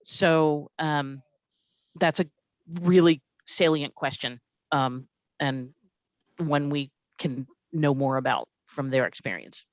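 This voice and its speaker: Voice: mid-range voice, slow, no room sound. A presenter at a committee meeting speaking on a video call, chatty and informal with lots of fillers. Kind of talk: meeting discussion.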